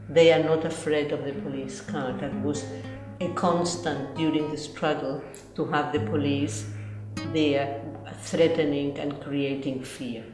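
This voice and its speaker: A woman's voice: sits low, reverberant. A woman from Chile with a Chilean Spanish accent, carefully explaining textile pieces in an interview. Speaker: textile curator.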